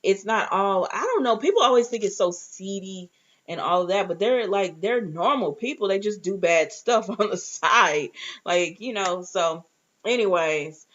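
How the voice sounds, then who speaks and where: A woman's voice: mid-range voice, expressive, a little slow, fairly bright tone. Woman chatting casually, dull lo-fi sound. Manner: chatty, informal, reacting and retelling dialogue. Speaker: reviewer and host of a TV recap show. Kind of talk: casual recap chat with acted-out asides.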